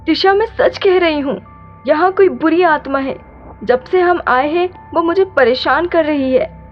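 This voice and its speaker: A woman's voice: high voice, unhurried, highs cut off, soft background music. A woman playing an anxious, frightened young woman in a horror story drama.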